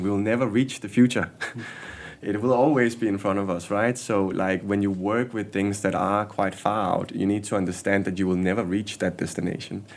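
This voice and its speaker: A man, a guest in a casual interview, speaking candidly with frequent restarts and repeated words. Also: sits low. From Denmark. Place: workshop basement.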